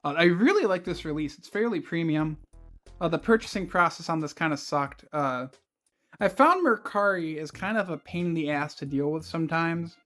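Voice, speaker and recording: A man with a scratchy, worn-out voice talks casually, as if chatting with his viewers. His tone is loose and friendly, with plenty of fillers along the way. Faint music plays softly behind him. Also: on the high side, moderate pace. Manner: relaxed and chatty, with filler words and light laughs. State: scratchy voice from concert screaming. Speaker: channel host. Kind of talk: casual video review chat.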